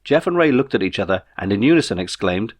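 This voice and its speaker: A man reading his own novel as a scripted audiobook, in a measured storytelling manner. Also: on the low side, very animated.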